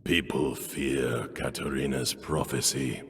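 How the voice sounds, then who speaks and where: A man's voice: rumbling low, fairly even intonation, slow, fairly bright tone, soft background music, exaggerated voice. An actor playing an ominous, zealous man in a video game cutscene, with portentous, declamatory delivery. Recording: long hall reverb.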